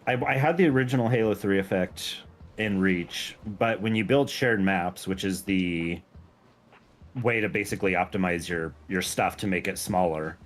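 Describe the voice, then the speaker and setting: A man's voice: sits low, normal speed. A man talking casually and enthusiastically on a gaming stream, explaining game details in a rambling way. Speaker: game mod creator guesting on a stream.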